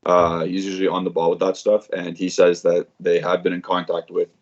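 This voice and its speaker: A man's voice: low voice, monotone, fairly quick, a little mellow, dull audio. A man co-hosting a casual sports podcast, laid-back and chatty, saying "like" often. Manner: laid-back, says "like" a lot. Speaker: podcast co-host.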